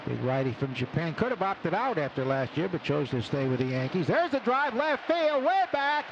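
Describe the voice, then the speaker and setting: Male radio baseball announcer, raised pitch, clipped play-by-play phrasing, clearly noisy open-air stadium background. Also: sing-song, moderate pace, highs cut off. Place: open broadcast booth at outdoor ballpark. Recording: noisy outdoor ballpark broadcast. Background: loud stadium ambience.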